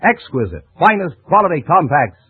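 A man's voice: on the high side, sing-song, moderate pace, breathy edge, no room sound, phone-quality sound. An American man announcing in a 1940s radio style, with a polished salesman's delivery. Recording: dry, band-limited vintage radio recording.

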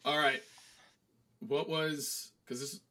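A man's voice: on the high side, level tone, slow pace, sharp tone. A man with an American accent chatting casually and teasingly as a co-host on a podcast.

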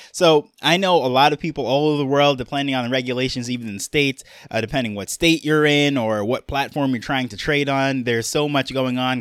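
A man's voice: mid-range voice, fairly bright tone, dry recording. Chatty New York-accented man, dry sound. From Jamaica, raised in New York. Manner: conversational, rambling with fillers and restarts. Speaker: podcast host. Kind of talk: casual solo podcast monologue.

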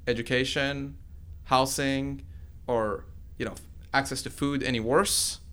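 His voice is smooth and clear, sitting at an ordinary male pitch. He is a man in his forties from Iran who grew up in Sweden. He answers interview questions conversationally, explaining things informally like a researcher turned founder. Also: steady tone, unhurried, a bit bright. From born in Iran, grew up in Sweden. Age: 40s. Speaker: tech company founder and computer science researcher being interviewed.

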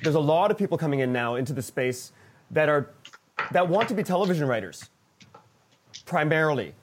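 A man speaking as a podcast creator in an informal roundtable, halting and often restarting his sentences. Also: fairly high pitch, very animated. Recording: noisy voice track with clicks.

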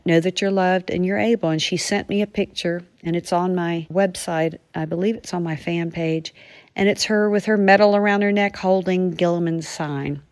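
A woman's voice: lowish voice, no echo. A woman author talking to listeners, sharing a personal anecdote in a conversational way. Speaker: author sharing a reader story.

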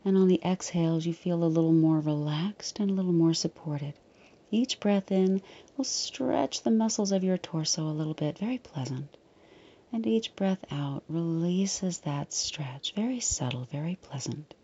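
A woman leading a guided meditation in a soft, breathy, often near-whispered voice, soothing, unhurried and gently reassuring. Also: fairly low pitch, animated, dull audio.

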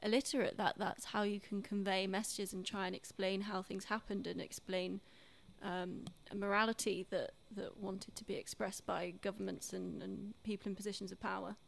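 A woman's voice: medium pitch, flat delivery, moderate pace, gravelly. A woman co-hosting a casual discussion and explaining her points conversationally.

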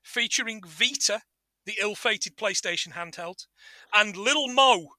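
A man's voice: high-pitched, measured pace, sharp tone, no echo. A man co-hosting a film podcast, recapping casually in a jokey, sardonic and sweary way.

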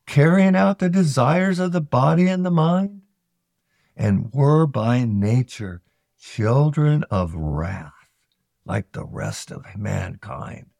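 A man reading scripture aloud solemnly, with measured, deliberate phrasing and frequent pauses. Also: on the high side, vocal fry, dark tone, dry recording, studio quality. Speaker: scripture reader.

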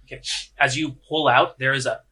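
A man running a tabletop game as game master, improvising narration informally and pausing for suspense. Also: mid-range voice, fairly even intonation, heavy background noise. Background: music.

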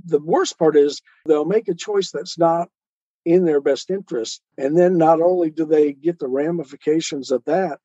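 A man's voice: a little high, moderate pace, warm tone, slightly rough, dry recording, muffled recording. A man talking as a guest author in a conversational interview, earnestly explaining and posing rhetorical questions. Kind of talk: conversational interview talk on life choices.

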